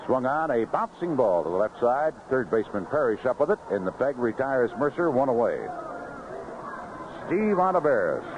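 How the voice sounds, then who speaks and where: Guy doing old time baseball play by play on radio, really lively pitch swings, dull noisy sound. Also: normal speed. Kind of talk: live radio baseball commentary. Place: outdoor ballpark broadcast booth. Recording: noisy dull vintage 1970s AM radio broadcast.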